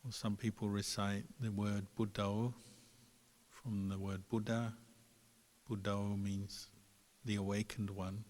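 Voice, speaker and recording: A man, a Buddhist meditation teacher, giving guided meditation instruction in a calm, unhurried manner with gentle pauses between phrases. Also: fairly low pitch. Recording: long hall reverb.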